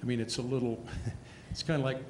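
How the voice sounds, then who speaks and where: A man's voice: mid-range voice, fairly even intonation, fairly quick, a little mellow, echoey room, slight background noise. A man speaking hesitantly and rambling as a resident at a public meeting.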